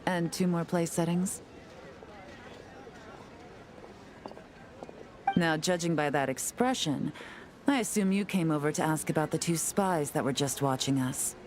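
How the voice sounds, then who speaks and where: A woman playing a composed, shrewd intelligence agent in a video game, speaking smoothly over music. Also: sits low, normal speed, a little mellow, natural voice. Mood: welcoming, shrewd. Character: poised, shrewd adult female intelligence agent.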